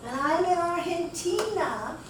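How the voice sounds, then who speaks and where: A woman's voice: very high-pitched, animated, unhurried, fairly bright tone. A woman explaining something in a classroom style, recorded in a very echoey room. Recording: very echoey room, background noise.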